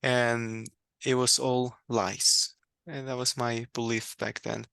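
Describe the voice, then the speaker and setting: Gravelly-voiced man. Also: medium pitch, flat delivery, slow, bright, forceful tone, no echo. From Mexico. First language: Spanish. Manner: reflective, candid, laughs often, restarts words. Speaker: guest. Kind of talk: personal faith story in conversation.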